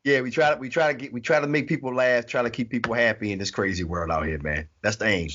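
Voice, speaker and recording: A man's voice: medium pitch, quick pace, slight background noise. Laid-back man in his forties, muffled call audio. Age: 40s. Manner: relaxed, laughs often, repeats phrases. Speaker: guest. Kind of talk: casual interview chat.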